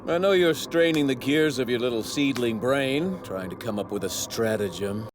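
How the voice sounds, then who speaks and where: An actor playing an arrogant, self-righteous god who scorns mortals, with pompous, formal diction in a theatrical anime dub. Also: male voice, mid-range voice, normal speed, over music, close to an everyday voice. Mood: condescending. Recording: noisy clip with overlapping sound effects. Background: anime sound effects.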